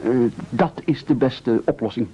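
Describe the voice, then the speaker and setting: An actor playing an anxious, selfish, fussily polite castaway gentleman in an absurdist radio play, hesitant and stammering over words. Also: mid-range voice, moderate pace, husky. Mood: nervous, confessing. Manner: hesitant, stammering repetitions, indignant. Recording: dull lo-fi old radio recording.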